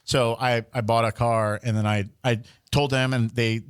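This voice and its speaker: A man's voice: medium pitch, little pitch movement, moderate pace, smooth, dry recording. A young man co-hosting a podcast, telling long rambling stories with lots of restarts and jokes. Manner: rambling storyteller, joking, many restarts. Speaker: podcast co-host. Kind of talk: casual chat.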